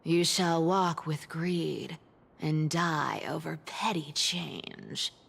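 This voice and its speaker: A woman's voice: low voice, fairly even intonation, very slow, gravelly, a bit bright, husky, exaggerated voice. A woman playing a mischievous, carefree young demigod treasure hunter in a video game, with a teasing, theatrical manner. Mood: mock solemn. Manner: teasing, theatrical, laughs between phrases. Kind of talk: video game character acting. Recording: long hall-like reverb.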